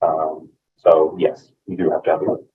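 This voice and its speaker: A man's voice: a bass voice, completely flat delivery, moderate pace, slightly rough. A man from a housing staff answering a question in a joking, informal way. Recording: distant tinny phone-like audio.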